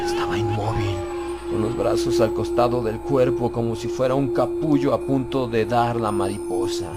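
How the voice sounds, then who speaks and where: Loud music runs beneath a man narrating a horror audiobook. His voice sits at a medium pitch. He reads in a grave, theatrical way, building suspense as a first-person storyteller. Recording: loud background music under the voice.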